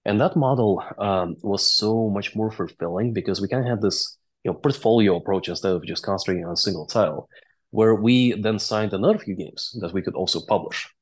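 Low-voiced man. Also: a little fast, low-quality recording. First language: Russian or Latvian. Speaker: interview guest, game company founder and CEO. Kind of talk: conversational interview storytelling.

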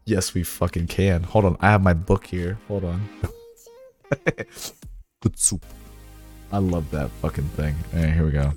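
A man with a sore, raspy voice talks casually to his stream chat in a lowish register. He rambles and swears with a sarcastic edge, with faint music underneath. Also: fairly even intonation, a little slow, pauses often, darkish tone. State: hoarse, sore voice. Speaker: livestreamer. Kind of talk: casual livestream chat with viewers.

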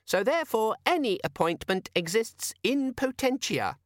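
A squeaky, high-pitched little demon organiser, sulky and smug as it lectures its owner in slow, fussy, drawn-out phrases. Its chirpy voice rises and falls a lot, and the storybook reading sounds dry and close. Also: male voice, very animated, no room sound. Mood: sulky pedantic.

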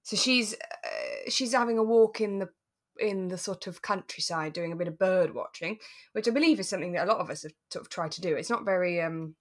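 A woman with a British accent, co-hosting a podcast in a relaxed, chatty style. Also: fairly low pitch, fairly quick. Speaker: podcast co-host.

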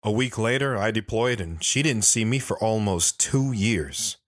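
A man's voice: lowish voice, lively intonation, unhurried, dry recording, studio quality. A man playing an earnest, guilt-ridden soldier, narrating his hard life in a sober, plain-spoken way for an audio drama. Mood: regretful. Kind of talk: dramatic first-person narration.

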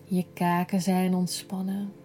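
A woman guiding a yoga nidra relaxation in a gentle, soothing, unhurried manner, breathy at times. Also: lowish voice, little pitch movement, soft background music. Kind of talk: slow guided relaxation meditation.

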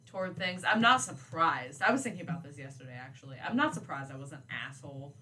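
A woman's voice: sits low, expressive, quick pace, pushed and bright. A woman in her twenties co-hosting a podcast in casual chat, informal, emphatic and self-deprecating. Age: 20s. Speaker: podcast co-host.

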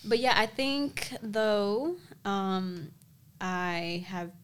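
A woman's voice: mid-range voice, animated, drawn-out words, a bit bright, slight background noise. A young woman, a co-host, chatting casually on a podcast, rambling and laughing often. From Dominican American, grew up in the US.

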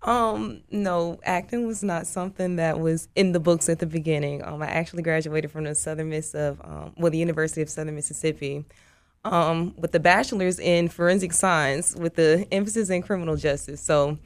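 A woman with a distinctly low voice for a woman. She speaks casually as an interview guest, recorded very dry in a studio. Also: steady tone, brisk, no room sound.

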